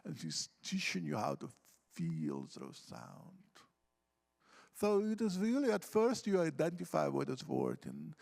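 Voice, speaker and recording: Guy, really gravelly fry, kinda high pitched, talking poetry craft with lots of examples. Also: huge pitch swings, a little slow, a little mellow. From Ukraine. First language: Russian. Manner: earnest, explains with examples and questions to himself. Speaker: poet on a panel. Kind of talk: reflective talk on poetry craft. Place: conference hall stage.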